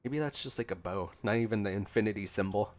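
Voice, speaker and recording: A man's voice: fairly low pitch, quick pace. A man giving casual gameplay reaction commentary as a streamer, with game music behind him. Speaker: gaming streamer.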